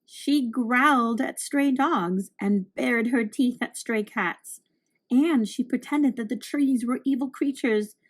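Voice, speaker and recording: A woman reading a children's storybook aloud, narrating with deliberate, expressive phrasing for young listeners. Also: on the high side.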